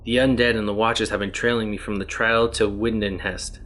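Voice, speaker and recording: A man voicing a weary male test subject stranded for ages in another world, read out in an even, plain reading voice. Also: on the low side, a little fast, natural voice.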